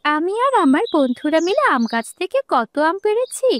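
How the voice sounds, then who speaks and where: An eager, helpful village girl from a fairy-tale cartoon, acted by a woman. Her voice is very high and youthful, and she talks in a brisk, reassuring way. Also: sing-song, a little hiss or hum.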